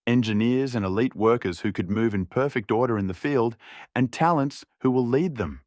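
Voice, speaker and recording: A man's voice: medium pitch, moderate pace, a little mellow, no echo, clean studio sound. A man reading a scripted story as an audiobook narrator.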